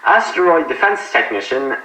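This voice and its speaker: A man's voice: mid-range voice, very animated, a little fast, bright, forceful tone. A man playing a courteous, formal spacecraft captain in an analog horror drama, commanding yet encouraging, in a roomy echo. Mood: formal, proud. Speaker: ship's captain.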